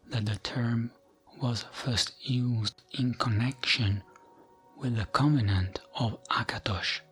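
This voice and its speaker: Slow, whispery man reading ASMR lore. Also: on the low side, low-quality recording. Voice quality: soft, breathy, often whispered. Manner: gentle, soothing, unhurried, with frequent pauses. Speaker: ASMR gaming channel host. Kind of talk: ASMR reading of fantasy game lore as a bedtime tale.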